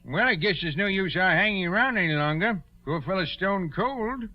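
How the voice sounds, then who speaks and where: A man's voice: high voice, expressive, moderate pace. A man playing a plain-spoken, folksy small-town sheriff in a 1940s radio drama. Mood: matter-of-fact. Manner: folksy, matter-of-fact. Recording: dull vintage 1940s radio recording.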